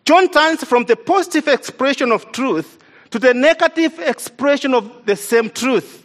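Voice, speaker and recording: A man's voice: extremely high pitch, lively intonation, moderate pace, a bit bright. A man from East Africa, whose first language is not English, preaching a sermon in an earnest, emphatic way and repeating key lines for stress.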